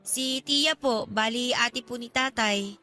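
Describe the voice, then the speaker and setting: A woman's voice: fairly high pitch, slow, pushed and bright. An actress playing a polite, guarded young female college student in a radio drama, speaking with hesitant reserve. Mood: explaining. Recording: music under the voice.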